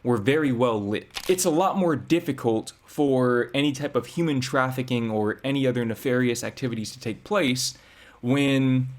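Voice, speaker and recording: Man giving relaxed commentary. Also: mid-range voice, normal speed. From United States. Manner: reflective, explanatory, conversational. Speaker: reaction vlogger. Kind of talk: casual commentary.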